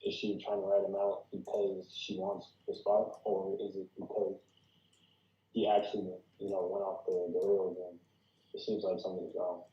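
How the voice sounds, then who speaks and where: A man's voice: sits low, flat delivery, moderate pace, dark tone. A man in a casual workshop discussion, building on ideas and probing them with questions. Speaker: participant giving script feedback at a table read. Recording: dull, echoey room recording.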